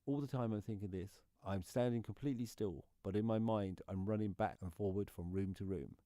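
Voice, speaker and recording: An actor plays an anxious man weighed down by guilt in a radio play. His voice is lowish and soft-edged, with a dark, mellow tone. He tells his story as an intense confession, recorded dry. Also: fairly quick, no room sound, natural voice. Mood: anxious, shaken. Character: anxious, guilt-ridden male neighbour confessing what he did. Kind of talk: dramatic monologue in a radio play.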